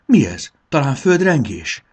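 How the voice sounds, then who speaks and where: Storybook little girl, acted by a man: anxious, whimpering, pitched up and animated. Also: slightly rough. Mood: frightened. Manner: whimpering, pleading. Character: timid, worried little human girl. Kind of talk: dramatized children's storybook reading.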